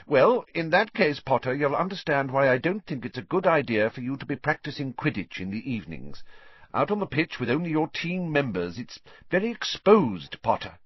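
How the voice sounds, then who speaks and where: A storybook professor, stern and concerned , acted by a man. The voice is raised high with swooping intonation, formal and firm in manner. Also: very animated, normal speed, slightly rough, no echo, muffled recording.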